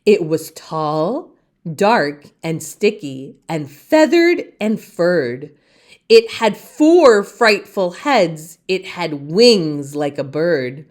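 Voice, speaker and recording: A woman reading a children's story aloud with theatrical, deliberate phrasing. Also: lowish voice, sing-song. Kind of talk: expressive children's read-aloud narration.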